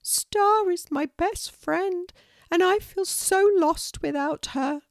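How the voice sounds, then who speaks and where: A woman's voice: no room sound, clean studio sound, heavily acted. A woman doing Moon, a heartbroken young pelican. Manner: slow, tearful, very high and soft. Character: sad young female pelican, heartbroken and lost.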